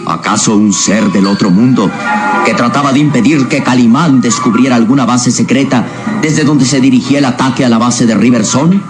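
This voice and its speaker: Male narrator for an old-school suspense radio serial, mid voice, emphatic, loud music behind. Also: medium pitch, brisk.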